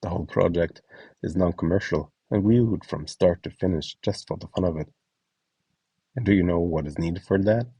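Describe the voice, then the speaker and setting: A man with a low-pitched, mellow voice narrates a scripted guide as host and instructor. His tone is a little husky and the sound is close and dry, with each step laid out plainly. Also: moderate pace, dry recording, far from the mic. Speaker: host and instructor of a voice donation project.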